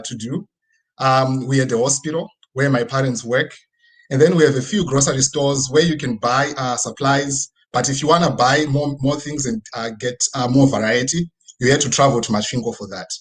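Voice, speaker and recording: Zimbabwean male speaker, mid-pitched and husky, conversational motivational talk over video call. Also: poor audio. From rural Midlands region of Zimbabwe. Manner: conversational, frequent tag questions seeking agreement. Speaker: guest speaker. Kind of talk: personal motivational presentation. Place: online video presentation with screen sharing.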